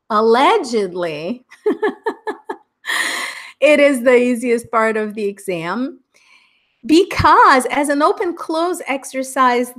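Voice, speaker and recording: Middle-aged Brazilian woman, Americanized accent, English teacher on a live class, upbeat and unhurried, a touch high. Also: fairly bright tone. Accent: Brazilian Portuguese, Americanized English. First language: Portuguese. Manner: upbeat, chatty, laughs often.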